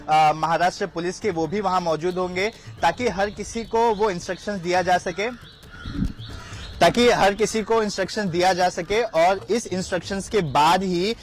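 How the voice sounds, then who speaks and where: A man giving an unscripted live news report, halting often with fillers and repeating his phrases. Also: high-pitched, slight background noise.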